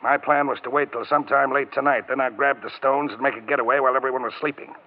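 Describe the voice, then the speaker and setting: Guy doing a cocky wisecracking thief, fast talker, old 1940s radio crime drama sound. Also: mid-range voice, fairly even intonation, close to an everyday voice. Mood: brash, defiant. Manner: slangy, brash, sardonic. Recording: muffled old-time radio recording.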